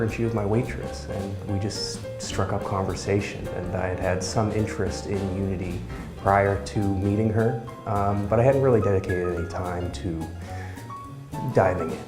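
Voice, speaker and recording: A soft-spoken young man answering interview questions in a relaxed, casual way. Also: fairly low pitch, reverberant. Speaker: student interviewee.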